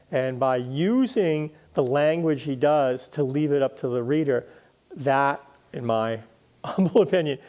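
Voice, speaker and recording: A man speaking as a guest historian, explaining literature and history in deliberate, emphatic phrases with pauses. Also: on the high side, dark tone.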